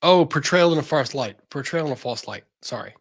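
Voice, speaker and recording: A man's voice: mid-range voice, expressive, brisk, gravelly. Blunt man with a mid-range voice. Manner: blunt, exasperated, profane. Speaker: host, legal commentator. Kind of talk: casual legal commentary.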